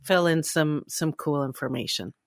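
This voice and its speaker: A woman's voice: sits low, moderate pace, dry recording, clean studio sound. A woman co-hosting a podcast, chatting casually and enthusiastically. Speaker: podcast co-host. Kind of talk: casual chat.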